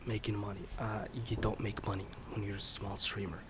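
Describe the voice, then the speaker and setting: A man in his late twenties, a streamer rambling in a soft-spoken, candid way, swearing casually and slipping into whispers. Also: lowish voice, monotone, brisk, background noise. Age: 20s. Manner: candid, swears casually, many fillers and restarts; drifts between soft speech and whisper.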